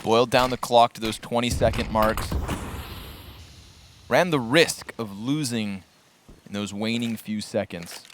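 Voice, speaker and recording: A man works as a play-by-play caster on a live esports broadcast. His pitch sits in the middle for a man. There is clear background noise under his commentary. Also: measured pace, pauses often.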